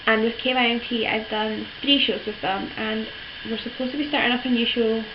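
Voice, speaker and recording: A teenage girl, hoarse from a cold, rambling through a casual vlog with lots of ums and likes. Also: on the high side, a little fast. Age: teens. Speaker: vlogger. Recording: dull lo-fi with steady background noise.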